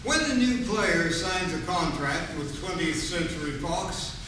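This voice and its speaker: A man playing a polished 1940s radio announcer, reading a scripted sales pitch on stage. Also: lively intonation, measured pace. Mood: promotional. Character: smooth-selling male 1940s radio announcer. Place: stage of a community theatre. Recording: echoey theatre from far off, noisy.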